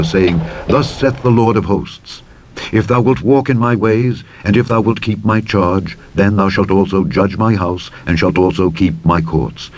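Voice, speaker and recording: A man reads the King James Bible aloud as a narrator, in a solemn, deliberate, declamatory style with measured phrasing. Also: sits low, darkish tone, a little hiss or hum. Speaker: scripture narrator. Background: truck driving sounds.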